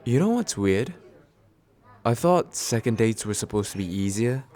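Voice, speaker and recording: A man playing a tender, nervous, attentive date in a soft, intimate ASMR roleplay, halting and gently self-deprecating with small stammers. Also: lowish voice, big pitch swings. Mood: warm, nervous. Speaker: voice actor in an audio roleplay.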